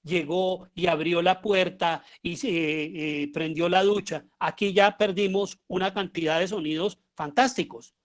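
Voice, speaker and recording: A man with a Colombian accent giving an explanatory lecture as a teacher, often pausing and posing rhetorical questions. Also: sits high, steady tone. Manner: halting, with pauses and rhetorical questions. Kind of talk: explanatory lecture on writing audio fiction.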